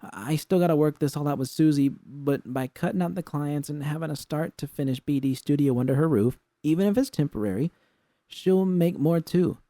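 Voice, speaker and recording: An insistent young woman from an audiobook drama, acted by a man, with the pitch lifted well up. The delivery is earnest, slangy and stubbornly hopeful, in a soft-edged tone with a dry, close sound. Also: moderate pace, no echo, lightly acted. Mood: eager, persuasive.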